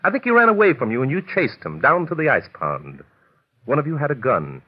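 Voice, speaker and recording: An actor playing a suave, assured amateur detective in an old-time radio drama, speaking articulately and deliberately. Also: male voice, medium pitch, huge pitch swings, breathy edge. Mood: accusing. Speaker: detective and story narrator. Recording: muffled vintage radio recording.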